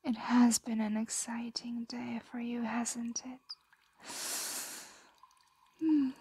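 A woman's voice: a little high, little pitch movement, dark tone, breathy edge, no echo, full character voice. A woman playing an ancient, possessive, doting dragon in an ASMR roleplay, whispering breathily and soothingly, close to the microphone. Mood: sleepy, tender. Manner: slow, soothing, possessive murmuring.

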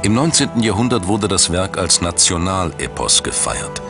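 Male documentary narrator, low register, measured dramatic storytelling over prominent music. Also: big pitch swings, creaky. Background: background music.

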